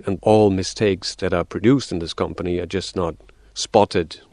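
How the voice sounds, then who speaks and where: Low-voiced man, halting and explanatory. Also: steady tone, a little mellow, no echo. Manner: hesitant, many restarts and fillers; explains in numbered theories. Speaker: guest. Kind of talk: radio interview answers.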